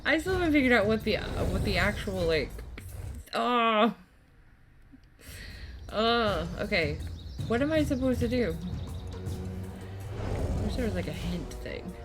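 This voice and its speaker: A woman with a fairly high, lively voice gives casual, halting gameplay commentary. She mutters to herself and reacts at an unhurried pace, with game music clearly behind her. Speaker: gameplay video host.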